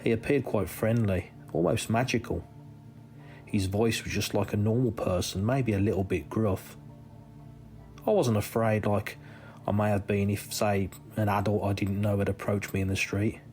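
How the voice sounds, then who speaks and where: Male story reader, lowish pitch, measured scripted first-person narration with careful pauses, music bed. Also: steady tone, darkish tone. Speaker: reader of a listener's true story. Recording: music under the voice.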